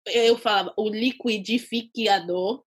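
A young woman being interviewed, retelling a personal story in a lively, animated way. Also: medium pitch, measured pace, husky.

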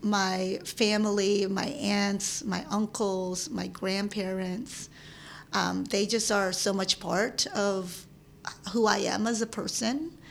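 A woman's voice: mid-range voice, steady tone, takes it slowly, gravelly, a little hiss or hum. A Filipino-born woman in her thirties, a guest in an interview, talking casually with frequent laughs and restarts. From Philippines. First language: Tagalog. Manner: chatty, laughs often, many restarts.

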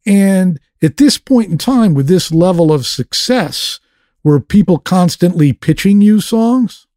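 A male interviewer asks short, direct questions. His voice is mellow and a little high for a man. The sound is dry and close, with no room in it. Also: animated, a little slow, no echo. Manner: brief, direct prompts. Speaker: interview host.

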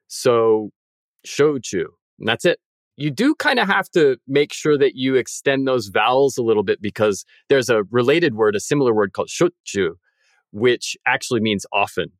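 A man's voice: medium pitch, no echo, studio quality. A man co-hosting a podcast, explaining things in a methodical, precise way. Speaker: podcast co-host.

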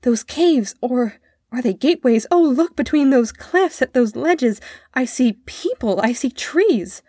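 A woman voicing an earnest, excitable young boy full of wonder in a storybook reading. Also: sits high, a little slow, no echo, studio quality, pitched above the performer's own voice. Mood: awed.

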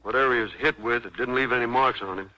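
An actor playing a folksy, plain-spoken county sheriff in a 1950s radio western, with drawn-out country phrasing. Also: male voice, mid-range voice, bright, forceful tone. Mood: unhurried, grave. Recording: dull vintage 1950s radio recording.

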